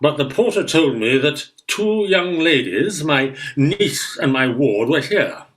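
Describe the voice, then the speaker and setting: Radio farce: male actor as stiff, indignant older guardian, fairly high voice, slow, lively delivery. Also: full character voice, poor audio. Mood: pompous impatience. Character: pompous, irritable older Victorian guardian uncle. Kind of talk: Victorian farce radio acting.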